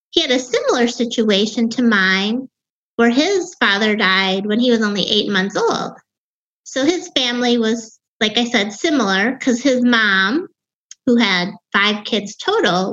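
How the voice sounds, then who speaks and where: A woman in her fifties with a Wisconsin accent talks about her life as a podcast guest. Her voice sounds young and sits fairly high, and she pauses and restarts as she reflects. The recording is a bit dull and lo-fi. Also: a bit bright. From Wisconsin, USA. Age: 50s. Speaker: author and grief guest. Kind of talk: personal storytelling in an interview.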